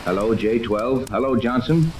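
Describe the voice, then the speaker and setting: Clear noise from an old radio recording surrounds a man acting a resolute captive captain. His mid-pitched voice is purposeful and terse, pressing on urgently in classic 1930s serial style. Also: expressive, normal speed, darkish tone, natural voice. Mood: tense, urgent. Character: resolute captive male radio-operating captain plotting escape.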